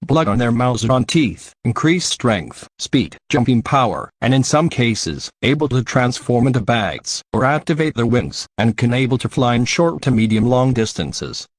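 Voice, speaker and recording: A man's voice: mid-range voice, dry recording. A man reading a scripted emergency alert as an announcer.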